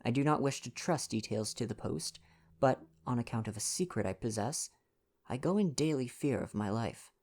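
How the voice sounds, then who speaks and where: A man voicing a formal, anxious wealthy gentleman's urgent letter, read aloud within a storybook. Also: mid-range voice, expressive, normal speed.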